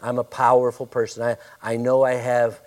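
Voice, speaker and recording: A male pastor preaching a sermon and praying, with a loud, forceful voice, emphatic when preaching and hushed in prayer. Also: mid-range voice, monotone, moderate pace.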